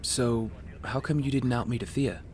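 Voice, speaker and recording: A man's voice: medium pitch, normal speed, darkish tone, slight background noise, lightly acted. A young man playing a rich playboy with a secret heroic life in an audio drama. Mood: wry, casual.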